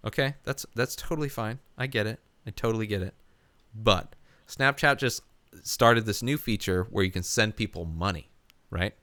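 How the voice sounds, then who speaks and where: Rambling low-voiced man on a podcast. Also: bright, forceful tone, no echo. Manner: rambling, halting, profane. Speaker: podcast host. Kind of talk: casual comedic podcast chat.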